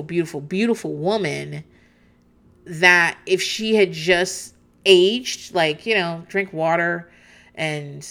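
Chatty lower-voiced woman swearing freely. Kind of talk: casual reality TV recap chat.

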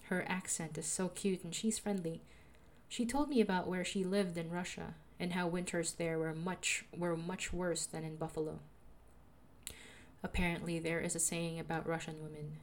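A young woman with a soothing voice, calmly narrating a personal audio diary as a podcaster. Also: fairly low pitch, normal speed, slight background noise. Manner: calm, reflective, confessional.

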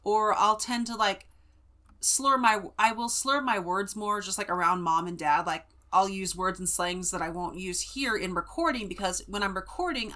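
A woman's voice: medium pitch, sharp tone. A woman with a Central California accent, co-hosting a book podcast and retelling a chapter in a chatty way. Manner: chatty, many fillers. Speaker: podcast co-host.

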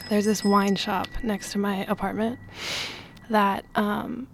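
A woman's voice: medium pitch, steady tone, slow pace, creaky, noisy background. A woman storyteller sharing a personal anecdote in a casual, conversational style.

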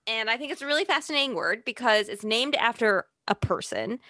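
A woman's voice: a little high, expressive, fairly quick, bright, forceful tone, no room sound, studio quality. A woman with a Chicago accent co-hosting a podcast, explaining design topics with enthusiasm. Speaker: podcast co-host. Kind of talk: casual podcast chat.

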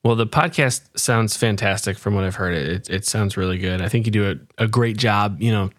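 Lower-pitched man interviewing, dry close sound. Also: a little fast, a bit bright, no room sound. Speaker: podcast host, interviewer. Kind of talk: casual interview chat.

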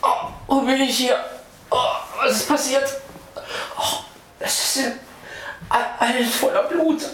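A woman's voice: high-pitched, takes it slowly. A woman playing a dazed, frightened woman in an amateur radio play, moaning and repeating herself. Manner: moaning, stammering, repetitive. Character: dazed, frightened woman waking up covered in blood. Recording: very echoey, distant, faintly noisy.

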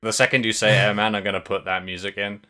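A man's voice: fairly low pitch, flat delivery, bright, forceful tone. A man co-hosting a podcast, chatting casually and often restarting his sentences. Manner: halting, many restarts and fillers. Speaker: podcast co-host. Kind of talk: casual chat.

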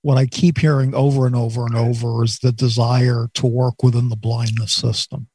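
A man's voice: medium pitch, flat delivery, moderate pace, dark tone, breathy edge, no echo. A man in a casual group talk who speaks hesitantly, circling before he gets to his point. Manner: hesitant, many fillers and restarts.

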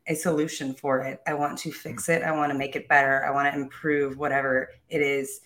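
A woman's voice: a bass voice, little pitch movement, brisk, a bit bright. A young woman telling her own life story in an interview, upbeat and self-assured, with small laughs and restarts. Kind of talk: candid personal storytelling.

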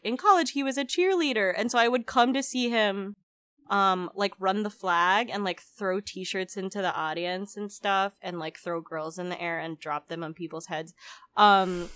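A woman's voice: medium pitch, a little slow, fairly bright tone, smooth, no echo. A woman in her twenties who grew up in the Philippines chats on a podcast, stacking "like" and "um" as she goes. She laughs often through her own stories. The sound is dry and close, with no room around it. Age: 20s. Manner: chatty, giggly, lots of "like" and "um". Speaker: podcast co-host. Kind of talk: casual podcast chat.

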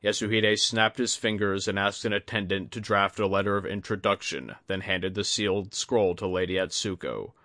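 A man reading scripted fantasy fiction as an audiobook narrator, in a measured, literary manner. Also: on the low side, bright, forceful tone, no room sound, clean studio sound.

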